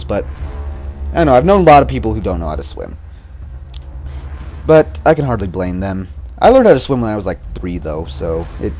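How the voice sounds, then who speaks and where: A man's voice: fairly low pitch, darkish tone. A young man hosting a casual let's play, rambling and wryly complaining about the game, a bit stuffy with a slight cough. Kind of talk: casual gameplay commentary.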